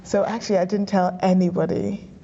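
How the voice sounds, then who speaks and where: A young woman from Ghana, an interview guest, telling her life story in a relaxed, chatty way with easy laughs and small restarts. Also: mid-range voice, a little fast, dull audio.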